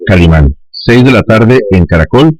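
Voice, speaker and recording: A Colombian man hosts a live radio show. His voice is on the low side. He talks as a news presenter and interviewer on air. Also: expressive, normal speed, a crowd in the background, low-quality recording. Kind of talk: live morning radio talk.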